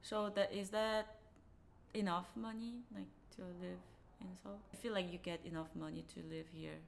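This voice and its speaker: A woman's voice: fairly low pitch, fairly even intonation, moderate pace, gravelly. A woman from South Korea, a native Korean speaker with a Korean accent, chatting casually as a podcast co-host. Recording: faint background noise.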